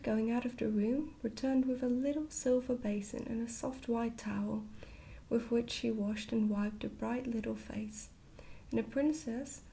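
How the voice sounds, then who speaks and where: A woman gently reading a storybook aloud in a hushed, whispered ASMR style. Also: fairly high pitch, creaky, slight background noise, poor audio. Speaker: storybook reader, ASMR creator.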